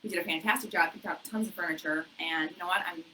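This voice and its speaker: A woman realtor co-hosting a casual chat from her office, bubbly and chatty with a loud voice that carries, laughing often. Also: on the low side, quick pace, far from the mic, a little hiss or hum, rough recording. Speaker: realtor co-hosting a local real estate show.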